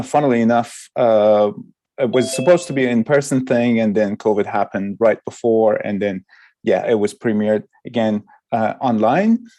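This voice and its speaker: A man from Iran, a sound artist and lecturer, giving an informal artist talk with hesitant pauses mid-phrase. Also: mid-range voice, mellow.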